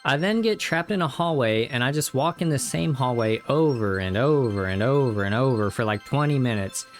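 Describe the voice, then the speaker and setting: A man with a mid-pitched voice recaps a video game as a reviewer. His narration is scripted but casual. He leans on tongue-in-cheek exaggeration as he tells it. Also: animated, moderate pace, faint music behind.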